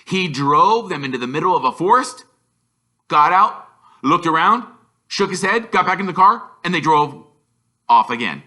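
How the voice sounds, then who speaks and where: A man reading a children's story aloud with expressive, emphatic delivery, drawing out some words. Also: fairly high pitch, moderate pace. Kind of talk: animated storybook narration.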